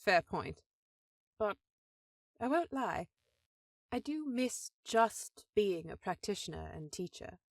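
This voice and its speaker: A woman's voice: mid-range voice, sing-song, takes it slowly, pauses often, no echo, heavily acted. Composed, close-sounding woman voicing an elven sorceress sister. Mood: composed, wry. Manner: composed, slightly formal, gently teasing. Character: powerful elf sorceress sister, affectionate, teasing, dutiful headmistress. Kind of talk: scripted fantasy audio roleplay.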